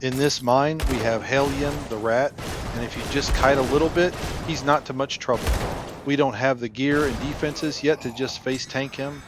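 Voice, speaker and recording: A man's voice: mid-range voice, fairly even intonation, normal speed, noisy background. A man giving casual, explanatory game walkthrough commentary, speaking directly to viewers. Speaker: gameplay video narrator.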